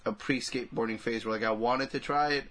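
Male podcast co-host, raspy but bright, casual and meandering, talking movies with his buddies. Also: medium pitch, fairly even intonation, quick pace. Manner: rambling, crude humor, frequent "like" and "you know". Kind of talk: casual film discussion among friends.

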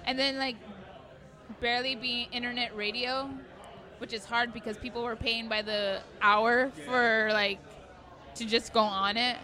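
A woman of about thirty rambling casually on a podcast and leaning heavily on "like." Her voice sits a little high and has a bright edge. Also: fairly even intonation, unhurried. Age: 30s. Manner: chatty, rambling with many fillers. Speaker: podcast co-host. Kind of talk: casual chat.

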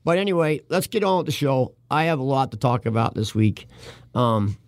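A man's voice: mid-range voice, expressive, normal speed, no echo, studio quality. An older man hosting a casual talk show, opinionated and rambling, his voice worn from talking. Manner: rambling, opinionated, restarts often. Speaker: host.

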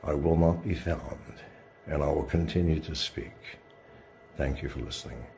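A man's voice: rumbling low, normal speed, dark tone, faint music behind. A man giving a dramatic monologue in a preachy, intense way, his voice jumping up in sudden rises. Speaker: lone speaker addressing listeners from a shielded room.

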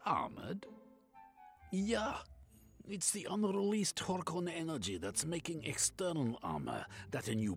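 A man voicing a flamboyant, pushy middle-aged German doctor with a German accent, excitable and lecturing. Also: medium pitch, vocal fry, voice pushed up.

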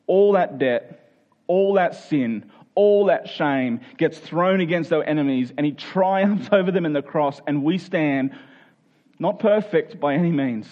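High-pitched male preacher, dull recording. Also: unhurried. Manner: emphatic repetition of short phrases; earnest, exhorting. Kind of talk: sermon with scripture reading and prayer.

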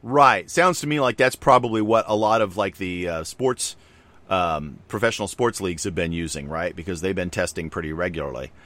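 Man, middle-aged, very deep voice, casual rambling chat, lots of fillers. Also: brisk, fairly bright tone, no room sound. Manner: rambling, loose, many fillers and asides. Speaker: podcast co-host. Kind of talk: casual conversation.

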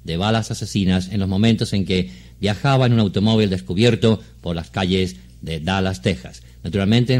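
Male newsreader for live radio bulletins, lowish register, formal and deliberate, studio setting. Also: darkish tone. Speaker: Spanish-language radio newsreader. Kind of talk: live breaking news announcement.

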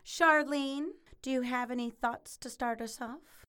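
A woman's voice: high voice, steady tone, measured pace, a bit bright, clear, resonant tone. A woman playing a prim, gracious book club hostess in a comedic audio drama, polite and proper.

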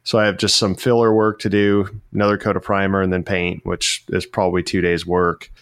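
A man from Florida talks casually in a low, smooth, clear voice. He rambles and corrects himself as he goes, and the sound is dry and close. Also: level tone, fairly quick, a bit bright, no echo. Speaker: podcast co-host, metalworker. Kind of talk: casual chat.

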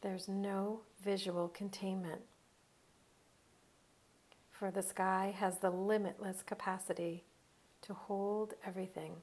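Slow-paced woman guiding a meditation. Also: medium pitch, little pitch movement, a little mellow. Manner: slow, unhurried, soothing delivery with long pauses. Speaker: meditation guide. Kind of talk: guided meditation narration.

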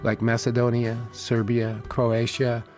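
A man, narrating a documentary report in a calm, measured, plain-spoken way. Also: mid-range voice, soft background music. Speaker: host and reporter. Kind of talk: scripted narration and field interview.

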